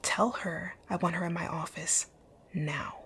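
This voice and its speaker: A woman playing a rich, dominant boss in an ASMR roleplay, speaking in a curt, commanding way with a soft, breathy, husky voice. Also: on the low side, fairly even intonation, measured pace, slight background noise. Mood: cold, bossy. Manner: curt, commanding, breathy, often near a whisper. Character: rich, rude, dominant female boss, stern but secretly caring. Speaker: audio roleplay voice actor.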